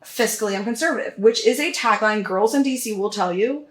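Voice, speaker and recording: A woman's voice: fairly high pitch, brisk. An American woman of about thirty chatting casually and telling personal stories in a rambling, sweary way. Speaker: podcast guest. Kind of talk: casual chat, personal storytelling. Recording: roomy, echoing sound.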